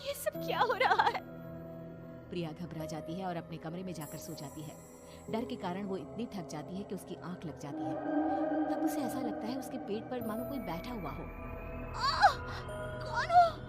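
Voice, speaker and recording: A woman's voice: fairly low pitch, animated, fairly quick, over loud music. A woman narrating a scripted story dramatically as a storyteller. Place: voice-over recording with background music.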